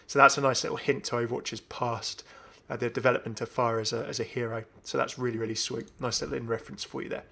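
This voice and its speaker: A male gaming host gives casual, chatty commentary. His mid-pitched voice has plenty of creak, and he sounds enthusiastic about what he is showing. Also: fairly even intonation, fast talker, hardly any pauses, sharp tone. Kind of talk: casual gameplay showcase commentary.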